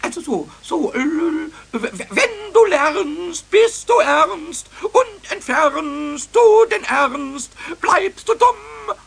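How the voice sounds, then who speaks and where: A man playing a cheeky, childlike little male kobold in a children's radio play, with a squeaky voice and eagerly stammering, repetitive speech. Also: sing-song, slow pace, gravelly, hardly any pauses, a bit bright, exaggerated voice. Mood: earnest boasting. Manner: stammering eagerly, repeating words. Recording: noisy old radio play recording.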